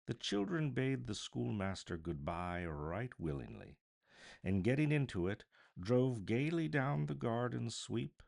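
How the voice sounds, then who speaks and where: A man narrating a classic story as a voice artist, theatrical, deliberate and expressive. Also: fairly low pitch, creaky. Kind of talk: dramatic literary storybook narration.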